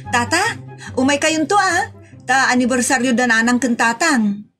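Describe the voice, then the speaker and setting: A woman's voice: sits high, normal speed, over music, natural voice. A woman with an Ilocano accent playing a polite, kind young neighbour in a comedy audio drama. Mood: friendly, inviting.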